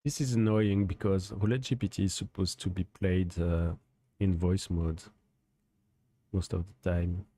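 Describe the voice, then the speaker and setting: A man hosting a livestream, talking in a hesitant, halting way with many fillers. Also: sits low, fairly even intonation, warm tone, dry recording. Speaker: host testing a chatbot. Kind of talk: casual livestream talk.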